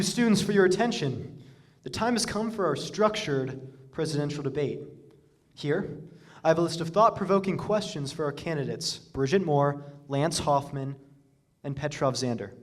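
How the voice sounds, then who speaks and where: A man's voice: fairly high pitch, animated, moderate pace, lightly acted. A stern, formal school dean, played by a man, lecturing students in an authoritative speech-making tone with a slightly raised voice. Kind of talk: student staged reading.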